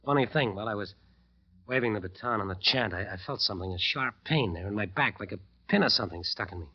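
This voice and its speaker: Haunted, exhausted bandleader, old radio. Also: male voice, fairly low pitch, animated, breathy edge. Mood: shaken, drained. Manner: weary, halting, intense, brooding first-person narration. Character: haunted, exhausted bandleader wasting away under a curse. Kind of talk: 1940s-50s radio drama acting. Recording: dull old radio recording with music behind.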